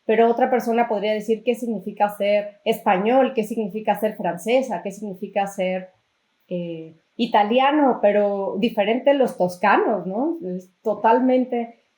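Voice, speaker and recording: A woman's voice: medium pitch, a little slow, mellow. A woman with a Mexican accent hosting a podcast, explaining things conversationally with strings of examples. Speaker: podcast host. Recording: roomy, echoing sound.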